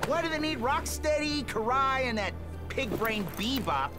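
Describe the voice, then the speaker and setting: A brash, wisecracking turtle hothead. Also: male voice, very high-pitched, sing-song, unhurried, music in the background, exaggerated voice. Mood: skeptical. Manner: streetwise, wisecracking. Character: hotheaded young male mutant turtle, brash and sarcastic.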